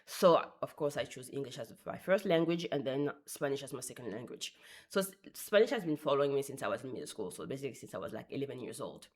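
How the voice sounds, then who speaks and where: Young adult woman, deep for a woman; chatty vlogger, animated with wry asides. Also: quick pace. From France. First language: French. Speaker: language vlogger. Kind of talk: casual talk to camera.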